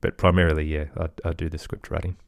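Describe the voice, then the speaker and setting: Very deep-voiced man, gravelly, chatting. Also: little pitch movement, fast talker, no room sound, clean studio sound. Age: middle-aged. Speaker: podcast guest, audio storyteller and producer. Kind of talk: casual interview conversation.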